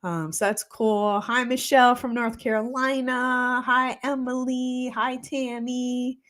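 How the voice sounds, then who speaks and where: A woman's voice: fairly high pitch, steady tone, slow, a bit bright. A woman in her forties, a holistic practitioner, chatting on a live stream in a reflective way with a slightly congested voice. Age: 40s. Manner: chatty and reflective, many fillers. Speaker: holistic practitioner hosting a weekly live show. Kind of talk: casual live-stream talk, greeting viewers. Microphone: streaming mic.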